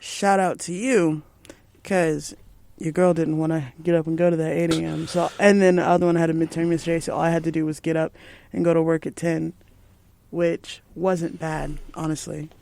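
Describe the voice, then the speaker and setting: Young female radio co-host, throaty and hoarse, heavy fry, laid-back delivery. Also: deep voice, flat delivery, moderate pace, dark tone. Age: young adult. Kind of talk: casual chat. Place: college radio studio.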